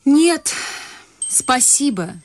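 A woman's voice: high-pitched, expressive, extremely slow, loud music behind the voice, heavily acted. A young woman actor playing a naive, nervously polite secretary in a radio comedy, rambling on in a prim, earnest way. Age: young adult. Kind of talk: radio play comedy acting.

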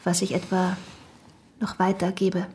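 An actress playing a proud young shopkeeper's daughter in a radio drama, blunt and reproachful, sometimes dropping to a hushed murmur. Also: female voice, lowish voice, monotone, measured pace, slight background noise, close to an everyday voice. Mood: bitter, grieving.

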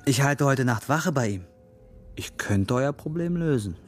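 An actor playing a fanatical born-again ex-convict in a radio drama, preachy and menacing. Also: male voice, medium pitch, lively intonation, unhurried, soft background music, lightly acted. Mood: coolly menacing.

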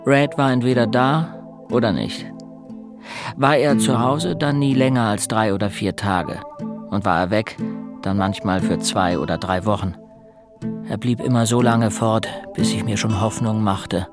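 A first-person narrator looking back on a grim childhood in an audio drama. His voice sits fairly low and his telling is resigned. Music plays clearly behind the words. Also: a little slow. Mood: brooding. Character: male narrator recalling a bleak boyhood, resigned.

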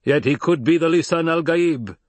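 Guy doing a stern desert tribe boss for an audiobook, formal n commanding, pitched up, really declaims. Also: normal speed, a little mellow, dry recording, natural voice. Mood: firm, declaring.

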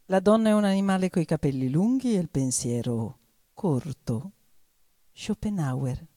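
A woman giving a theatrical recitation, declamatory and deliberate, with weighted pauses. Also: lowish voice, animated, warm tone, no echo, clean studio sound.

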